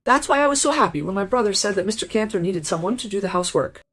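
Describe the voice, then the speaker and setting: An actress playing a chatty, rambling, somewhat flustered housekeeper in a radio play. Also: female voice, mid-range voice, lively intonation, quick pace, fairly bright tone, breathy edge. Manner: rambling, apologetic.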